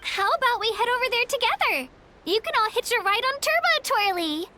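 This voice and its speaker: A woman voicing an eager, excitable young girl adventurer in a video game. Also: very high-pitched, fairly quick, background noise. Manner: bubbly and excitable.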